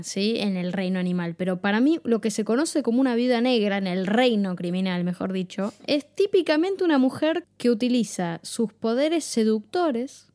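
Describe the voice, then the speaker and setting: A woman's voice: mid-range voice, darkish tone. A woman with an Argentine accent co-hosting a true-crime podcast, chiming in with playful remarks and jokes. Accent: Argentine Spanish. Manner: playful, quick interjections and jokes. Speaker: podcast co-host. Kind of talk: casual true-crime chat.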